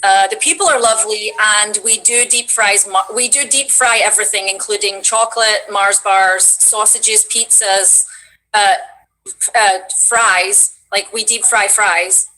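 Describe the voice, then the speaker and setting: Scottish woman guest, bright forward voice, chatty storyteller on a video call. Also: medium pitch, unhurried, poor audio. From Scotland. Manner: chatty, animated storytelling with fillers. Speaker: voice actress guest. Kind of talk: casual stream chat.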